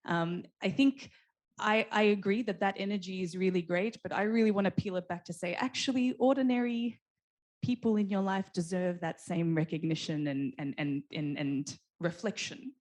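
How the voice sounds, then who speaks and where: A woman's voice: medium pitch, moderate pace, darkish tone. A woman speaking as a panelist, thoughtful and full of restarts and fillers. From Australia. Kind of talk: panel discussion.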